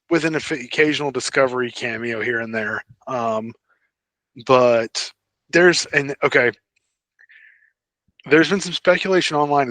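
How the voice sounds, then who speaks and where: A man's voice: mid-range voice, fairly bright tone, no echo. A man co-hosting a podcast in casual fan discussion, speaking hesitantly and often trailing off between thoughts. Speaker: podcast co-host.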